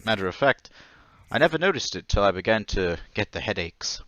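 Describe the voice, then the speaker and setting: A man plays a timid, downtrodden salesman with a lowish, sharp-edged voice. He is fretful and apologetic, hesitating as he talks in a radio-play manner. The recording is dull and very dry. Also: very animated, fairly quick, no echo. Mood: bitter, troubled. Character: meek, forgetful, gloomy male former travelling salesman. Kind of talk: old-time radio drama acting.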